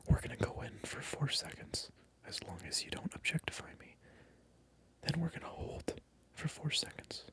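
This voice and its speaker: Breathy whispered ASMR voice, mock-indignant. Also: mid-range voice, big pitch swings, moderate pace, a bit bright. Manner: mock-indignant, teasing, repetitive. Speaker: ASMR video creator. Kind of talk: whispered ASMR roleplay monologue to the viewer. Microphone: close microphone.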